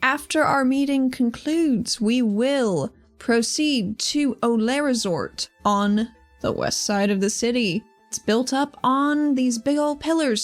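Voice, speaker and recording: A woman playing a dry, guarded, defiant female leader of mercenaries in a tabletop roleplaying game, speaking deliberately. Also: high voice.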